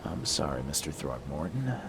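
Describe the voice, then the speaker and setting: A man voicing a private investigator who asks calm, dry questions in a video game cutscene. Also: a bass voice, normal speed, gravelly, very noisy, heavily acted.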